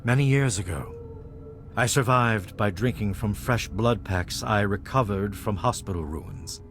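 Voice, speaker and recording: Game role: solemn male vampire patriarch, low voice with wide swings, formal and measured, music behind. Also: lively intonation, fairly bright tone. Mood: measured, serious. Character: grave, courteous male leader of a vampire clan. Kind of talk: video game voice acting.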